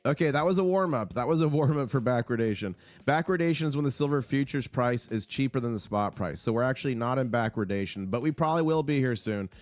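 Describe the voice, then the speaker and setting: Hoarse, hyped man hosting a livestream. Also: medium pitch, lively intonation, fast talker, few pauses, dry recording. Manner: urgent, hyped, repeats key numbers. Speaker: livestream host on silver markets. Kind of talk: excited live market commentary.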